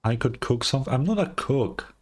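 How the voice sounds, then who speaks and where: A man's voice: mid-range voice, expressive, moderate pace. A man reacting casually to a game as a streamer, with laid-back, short interjections.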